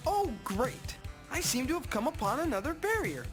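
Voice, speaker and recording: A prim, precocious young apprentice hero speaks in formal, wordy sentences for a video game. The fairly high voice is lively and expressive, with a dry politeness, and music plays clearly behind it. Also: female voice, normal speed. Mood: wry. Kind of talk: video game cutscene acting.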